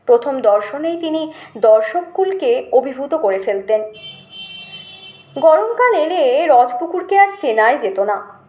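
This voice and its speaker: A woman reading a literary memoir as an audiobook narrator, in an expressive storytelling manner. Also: high-pitched. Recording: roomy, echoing voice recording.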